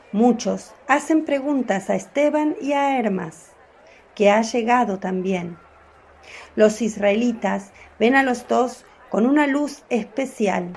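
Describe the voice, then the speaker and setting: A woman narrating a radio drama in a measured, clear storytelling voice. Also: a little high, a little mellow.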